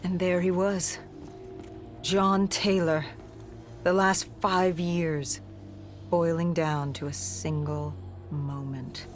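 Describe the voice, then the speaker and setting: A woman's voice: on the low side, little pitch movement, takes it slowly, pauses often, faint music behind. A woman playing a defiant, battle-weary soldier in a video game, grimly and bluntly narrating a mission. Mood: haunted, grim. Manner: blunt, bitter, grim.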